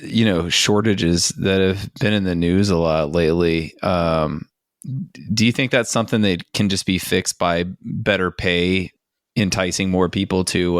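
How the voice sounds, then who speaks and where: Male podcast co-host, deep smooth voice in a dry close recording, casual questioning. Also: dry recording.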